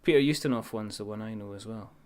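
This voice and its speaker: A man's voice: lowish voice, quick pace, a little mellow. A man from the United Kingdom, hosting a podcast in playful casual chat. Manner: playful, jokes and laughs. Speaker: podcast host.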